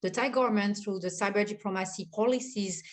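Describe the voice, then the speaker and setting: A woman moderates a panel discussion in a mid-pitched voice. She speaks off the cuff and emphatically, repeating phrases to press her points. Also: brisk.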